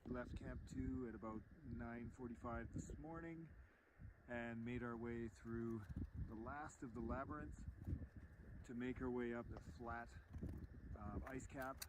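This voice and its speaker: An upbeat Canadian man talks casually into a camera while out on the ice, his voice pitched in an ordinary middle range. The outdoor recording carries a clear layer of noise around him. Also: measured pace. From Calgary, Canada. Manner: upbeat, chatty, matter-of-fact updates. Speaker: expedition member. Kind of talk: casual video diary on the trail. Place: outdoors on a glacier ice sheet.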